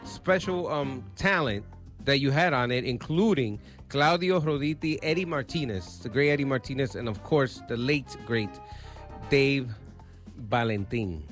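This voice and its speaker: A man's voice: mid-range voice, a little slow, a bit bright, soft background music. A man hosting a radio show, talking casually and rambling a bit with fillers. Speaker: radio host.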